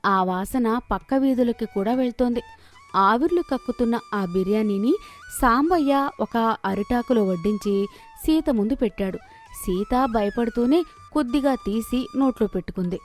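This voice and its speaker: High-pitched woman narrating a story over music. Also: normal speed. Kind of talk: scripted moral story narration.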